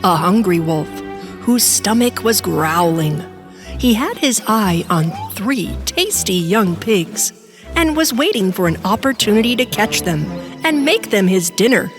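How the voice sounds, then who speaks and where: A woman's voice: medium pitch, lively intonation, unhurried. A woman narrating a scripted children's fairy tale as a storyteller. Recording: music behind the voice.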